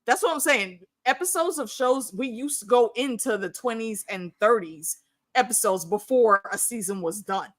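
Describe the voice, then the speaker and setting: A woman in her early forties talking casually as a livestream host, animated and slangy, swearing freely and wandering off on tangents. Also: fairly high pitch, moderate pace. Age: early 40s. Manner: animated, slangy, profane, digressive. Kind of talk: casual, unscripted fan discussion chat.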